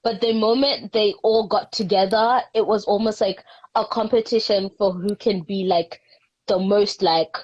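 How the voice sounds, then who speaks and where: A woman hosting a casual conversation, asking questions and chiming in with quick agreement. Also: medium pitch. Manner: relaxed, frequent agreeing interjections. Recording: dull lo-fi sound.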